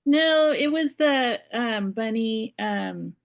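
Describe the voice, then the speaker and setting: A woman's voice: fairly high pitch, lively intonation, slow pace, gravelly. A woman co-hosting a video call, light and friendly. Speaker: co-host. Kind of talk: casual video-call chat.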